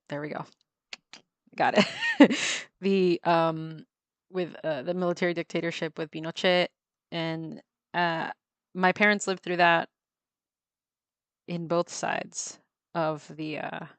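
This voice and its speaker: Chatty woman with a lowish, dry voice. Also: dry recording. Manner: chatty, laughs often, many ums and restarts. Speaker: livestreamer drawing on a tablet. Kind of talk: casual chat while drawing.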